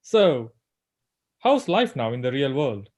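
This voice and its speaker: Conflicted agent in his thirties, read by a man, awkwardly polite, very animated intonation, mid pitch. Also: slow. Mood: uneasy. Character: uneasy thirty-something male agent, torn between duty and friend. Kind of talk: scripted table read.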